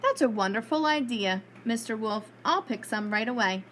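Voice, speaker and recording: A high-voiced little storybook girl played by a woman, earnest and innocent. She sounds sweet and trusting, over some background noise. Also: animated, moderate pace, a bit bright. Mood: cheerful.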